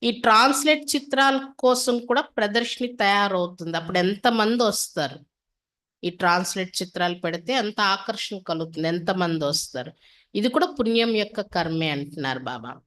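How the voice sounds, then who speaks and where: A woman's voice: medium pitch, fairly bright tone. A woman from India giving a religious class, reading scripture aloud, then explaining it instructively with repetition and rhetorical questions.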